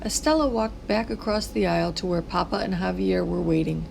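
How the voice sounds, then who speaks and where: A woman reading a children's story aloud in an expressive, dramatic way, drawing words out. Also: lowish voice, a little fast, vocal fry, slight background noise, poor audio. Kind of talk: expressive read-aloud narration.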